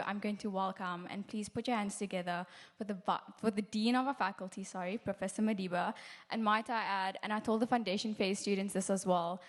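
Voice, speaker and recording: A young woman, delivering an enthusiastic, encouraging welcome speech to first-year students. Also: mid-range voice. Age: young adult. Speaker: student committee speaker, master of ceremonies. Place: large lecture hall.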